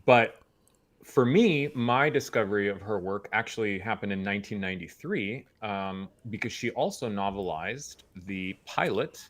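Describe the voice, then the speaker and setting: Lower-voiced man, bookish guest. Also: expressive, moderate pace. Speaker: guest on a pop culture show. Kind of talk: casual, informed fan discussion.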